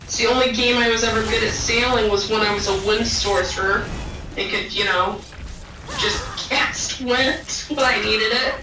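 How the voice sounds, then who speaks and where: A woman's voice: a little high, steady tone, normal speed, noisy background. A woman chatting casually as a guest on a game stream, easygoing and chatty. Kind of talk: casual gameplay chat. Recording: roomy, distant mic.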